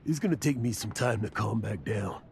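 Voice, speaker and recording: An actor playing an earnest, emotionally fragile, humble man in a video game, speaking haltingly. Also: fairly low pitch, gravelly, faint music behind. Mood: overwhelmed, grateful. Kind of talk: video game cutscene acting.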